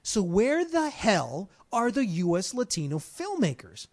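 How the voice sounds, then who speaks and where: A man with a notably high voice hosts a relaxed talk. He speaks animatedly and circles back over repeated words while he gathers his thoughts. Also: unhurried. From United States. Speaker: host. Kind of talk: casual chat.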